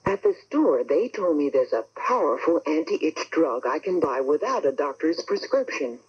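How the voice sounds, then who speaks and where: A man's voice: fairly high pitch, big pitch swings, moderate pace, slightly rough. A man playing a relieved everyday customer in a 1970s radio commercial testimonial, on a dull AM broadcast. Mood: earnest, relieved. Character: relieved everyday customer giving an anti-itch cream testimonial.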